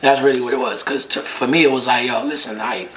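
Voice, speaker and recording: A man's voice: medium pitch, fairly even intonation, fast, fairly bright tone, a little hiss or hum. A man chatting casually in slangy, laid-back phrases, often repeating himself. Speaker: co-host, boyfriend of the host. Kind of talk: casual chat, slangy interjections. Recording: echoey untreated room.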